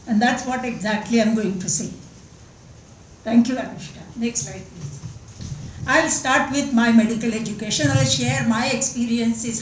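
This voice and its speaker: An older woman in her seventies with a Marathi-accented English voice that sits a little high. She speaks earnestly and emphatically, repeating words for stress. Her voice rings through a large echoey hall from some distance off the mic. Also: slight background noise. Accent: Indian English, Marathi. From Western Maharashtra, India. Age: 70s. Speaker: guest speaker, senior doctor. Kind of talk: conference speech.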